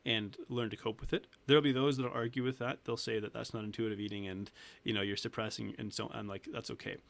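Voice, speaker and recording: Guest coach, man in his 30s, gravelly voice, earnest and chatty, laughs a lot. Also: on the low side, steady tone, fast talker. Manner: earnest, laughs often, restarts sentences. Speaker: weight loss coach, guest. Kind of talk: casual interview talk.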